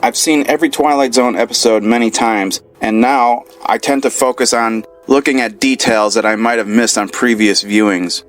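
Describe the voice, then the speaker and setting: A man from the United States shares his comments in a steady, methodical voice of middle pitch. Faint music sits underneath. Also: normal speed, hardly any pauses. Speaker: listener contributor. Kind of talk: read-out listener comment.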